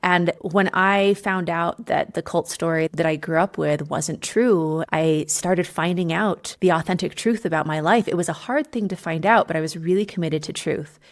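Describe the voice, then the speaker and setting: A woman from Utah speaks as a podcast guest, earnestly and candidly telling her own story. Her voice sits fairly low, and she fills her talk with casual "like"s. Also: normal speed, few pauses. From Utah, United States. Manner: warm, earnest, candid, with frequent "like"s. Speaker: executive coach, podcast guest. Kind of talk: personal storytelling in an interview.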